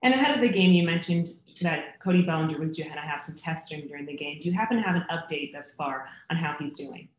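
A woman reporter asking a manager questions at a press conference, in a clear, lilting way. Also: low-pitched, quick pace, hardly any pauses, reverberant, poor audio.

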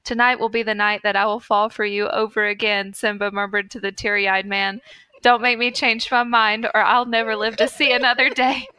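A woman's voice: on the high side, flat delivery, moderate pace, pushed and bright, clear, resonant tone, dry recording. A woman podcast host reading fan fiction aloud in a mock-earnest storytelling manner.

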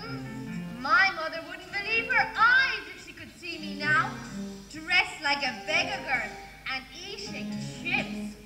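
Woman as a very high-pitched pantomime princess. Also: expressive, takes it slowly, exaggerated voice. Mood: playful. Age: young adult. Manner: bright exaggerated address to children. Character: young, playful, bored pantomime princess. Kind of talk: stage pantomime acting. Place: theatre stage, live performance. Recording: echoey theatre hall, music behind.

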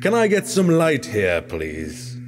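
A man's voice: medium pitch, huge pitch swings, takes it slowly, background noise. An actor playing a solemn, pious king in an audio drama, speaking in a formal, commanding manner. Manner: formal, grandiose.

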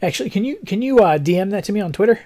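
A young man with a fairly high-pitched, mellow voice that sounds a little hoarse. He talks like a game streamer chatting to viewers, easygoing and rambling, with a technical bent. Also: expressive, rapid-fire. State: slightly hoarse. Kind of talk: casual livestream chat. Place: living room, seated in armchair indoors.

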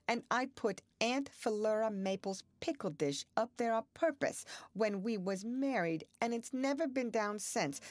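Lady reading as a sour sickly farm wife, shrill and quavery, new twang, swings up and down a lot, dry close sound. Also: no room sound. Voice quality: shrill, trembling, accusing. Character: bitter, sickly middle-aged rural farm wife.

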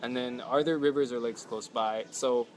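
A man in his thirties chatting on a phone livestream outdoors, upbeat and animated, telling stories full of "like"s. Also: medium pitch, normal speed. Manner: upbeat, animated storyteller, many "like"s. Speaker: travel vlogger. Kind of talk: casual live chat. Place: outdoors at a home stay patio. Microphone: phone held close.

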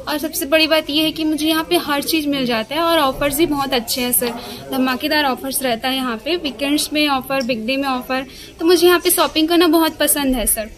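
A young woman shopper answering a reporter on the shop floor, polite and enthusiastic, into a handheld mic. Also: sits high. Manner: polite, enthusiastic, keeps saying sir. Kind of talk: vox pop interview. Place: inside a large retail store.

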